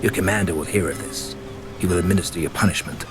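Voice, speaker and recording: An actor playing a stern, imperious inquisitor in a dark fantasy game, cold, formal and commanding. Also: male voice, deep voice, fast, music in the background, close to an everyday voice. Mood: menacing.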